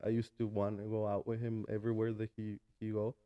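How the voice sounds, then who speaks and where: A man in his early thirties from Mexico with a Mexican Spanish accent, a guest talking casually in an interview with many pauses and restarts. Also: on the low side, completely flat delivery, clear, resonant tone, dull audio. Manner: hesitant, many fillers and restarts. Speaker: guest, food truck owner.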